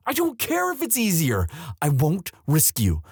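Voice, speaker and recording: A man playing an anxious, protective young prince in love, speaking earnestly and haltingly in a romantic roleplay audio drama. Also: on the high side, huge pitch swings, gravelly, darkish tone, husky, no room sound, clean studio sound, heavily acted. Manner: earnest, halting, tender delivery.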